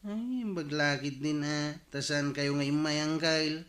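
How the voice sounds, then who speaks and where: A man's voice: on the high side, slow pace, fairly bright tone, reverberant. A man playing a tipsy, bickering drinking buddy who grumbles and complains in a comedic radio drama. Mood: aggrieved.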